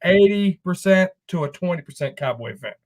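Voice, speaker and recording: A man from Texas joins a casual sports chat. He talks in a relaxed, jokey way, laughing as he goes. His voice sits slightly high for a man. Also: normal speed. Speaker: fellow show host.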